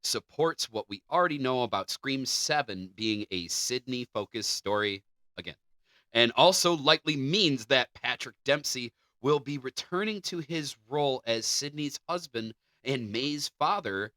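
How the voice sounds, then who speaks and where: A man's voice: mid-range voice, animated, a little slow, sharp tone, no room sound. A man co-hosting a podcast, reading out entertainment news with casual banter. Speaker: podcast co-host.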